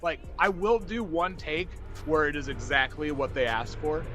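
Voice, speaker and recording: A man's voice: on the high side, moderate pace, over loud music. A man talking casually and enthusiastically as a voice actor in an interview.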